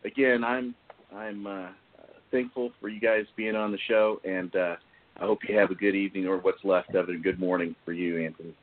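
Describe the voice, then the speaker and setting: Male podcast host, lowish voice, hesitant delivery with many restarts, tinny call-line sound. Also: steady tone. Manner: halting, repeats words often. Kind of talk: casual podcast discussion. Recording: tinny phone-like call audio.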